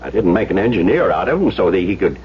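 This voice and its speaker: A man's voice: low voice, very animated, fast. An actor playing an overbearing, indignant middle-aged businessman in a 1970s radio drama, with a blustery, hectoring manner. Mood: angry, argumentative. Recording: muffled, noisy old radio broadcast.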